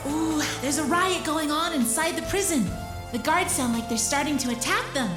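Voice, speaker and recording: A woman playing a cheeky, good-natured heroine in an audio drama, casual, teasing and expressive. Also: high voice, moderate pace, natural voice. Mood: urgent, determined. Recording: roomy, music behind the voice.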